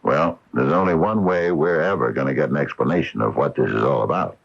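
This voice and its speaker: An actor playing an older, hesitant and courteous small-town hardware store owner in a radio mystery drama, with a gravelly voice. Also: male voice, a bass voice, a little fast. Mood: perplexed, resolute. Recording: dull lo-fi radio broadcast recording.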